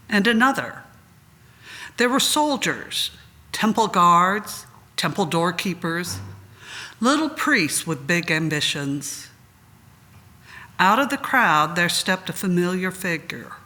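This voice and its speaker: A woman reading a sacred story at a church service in a dramatic, deliberate, phrase-by-phrase way. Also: lowish voice, sharp tone. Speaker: reader at a church Good Friday service. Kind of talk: dramatic scripture narration.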